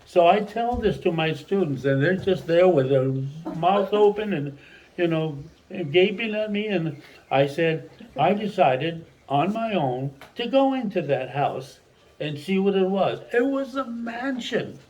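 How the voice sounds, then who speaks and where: A man's voice: high voice, unhurried, poor audio. Older Mexican-born man telling stories. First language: Spanish. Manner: rambling, animated storyteller who restarts sentences. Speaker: retired Spanish teacher. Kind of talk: family storytelling.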